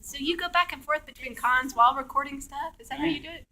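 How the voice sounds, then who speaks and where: A woman's voice: sits high, fast, sharp tone. A woman at a convention panel, asking questions and chatting eagerly, sharing trivia. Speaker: fan at panel.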